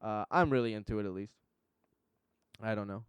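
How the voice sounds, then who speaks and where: A man's voice: lowish voice, brisk, smooth, no echo. A man hosting a podcast, chatting in a laid-back, rambling and enthusiastic way. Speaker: podcast host. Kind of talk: casual interview chat.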